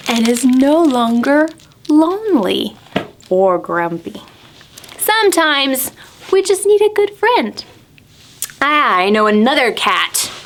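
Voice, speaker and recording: Slow, animated high-voiced woman storyteller. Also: a little hiss or hum. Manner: simple, deliberate phrasing with dramatic pauses. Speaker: library storytime presenter. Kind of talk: expressive picture-book reading for preschoolers.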